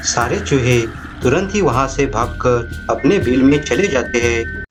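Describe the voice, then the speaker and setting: Guy narrating a cartoon moral story, normal pitch, music behind him. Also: expressive, a little slow.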